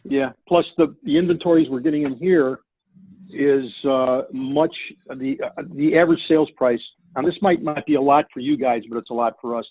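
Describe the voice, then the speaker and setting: A man's voice: mid-range voice, moderate pace, no room sound. Man on a very tinny phone line. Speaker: real estate agent. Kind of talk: casual chat on a call.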